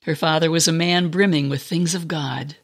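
A woman reading a scripted dramatic narration in an expressive, storybook manner. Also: low-pitched, normal speed.

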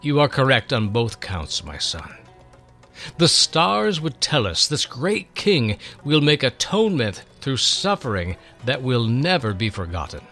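A man playing a kindly, patient old seer, measured and fatherly, in a dramatic storybook reading. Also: medium pitch, very animated, sharp tone, faint music behind, full character voice. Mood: gently instructive.

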